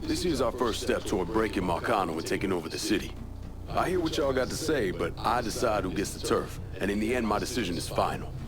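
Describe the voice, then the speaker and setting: A man's voice: fairly low pitch, expressive, fast talker, natural voice. A man playing a stern, determined crime boss in a video game cutscene, speaking tersely and firmly. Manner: firm, terse, matter-of-fact.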